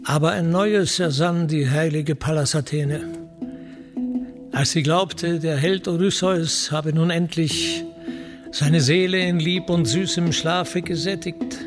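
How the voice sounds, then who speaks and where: Solemn male epic narrator, fairly high voice. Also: steady tone, slow pace, over music. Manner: solemn, declamatory, formal. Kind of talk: scripted literary narration of epic verse.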